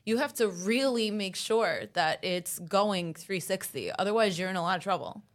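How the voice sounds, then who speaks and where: A woman in her thirties from the USA, whose first language is Italian, talking as a podcast guest in a lively, wry, self-mocking way. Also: mid-range voice, normal speed. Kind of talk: casual interview chat.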